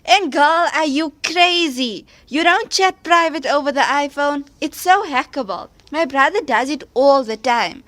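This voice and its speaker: A woman's voice: high-pitched, animated, measured pace, hardly any pauses, fairly bright tone, no echo. A woman playing a practical, slangy teenage best friend in an audio drama. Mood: scolding. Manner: casual, slangy.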